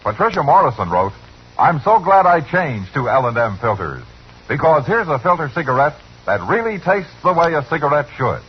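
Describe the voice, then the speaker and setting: A man's voice: fairly high pitch, sing-song, normal speed. A man reading a 1950s radio commercial with a punchy sales delivery. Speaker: commercial announcer. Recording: muffled vintage radio, faint noise.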